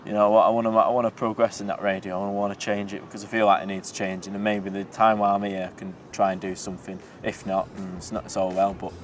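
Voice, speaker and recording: A man's voice: fairly low pitch, level tone, quick pace, hardly any pauses, recorded from a distance, slight background noise. A young man, a student radio presenter talking casually in an easygoing, rambling way with lots of fillers. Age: young adult. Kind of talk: casual interview talk. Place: outdoors in a city.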